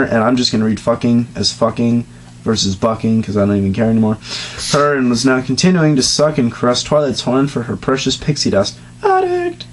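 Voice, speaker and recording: A man reads a story aloud in a mid-pitched, matter-of-fact voice. His delivery is deadpan and steady. A faint hum sits under the recording. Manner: deadpan reading broken by fits of high laughter. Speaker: dramatic reader. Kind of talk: comedic dramatic reading of fan fiction.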